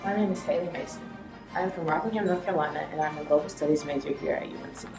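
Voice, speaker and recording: A woman's voice: low voice, fast, reverberant, music in the background. A young woman, a college student, delivering a polished, recited statement.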